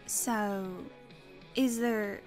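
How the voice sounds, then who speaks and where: A woman acts a no-nonsense young woman in an audio drama. Her voice sits a little high and she speaks slowly, probing and direct, with faint music behind. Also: fairly even intonation, a little mellow. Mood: curious.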